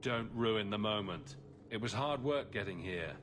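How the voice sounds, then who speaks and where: A flippant adventurer man. He talks fairly low, with very lively pitch movement and a sardonic, teasing edge. There is a little ambient noise behind him. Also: measured pace. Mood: wry, flippant. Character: wisecracking, irreverent adventurer hero. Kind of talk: video game cutscene acting. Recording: game audio with background ambient noise.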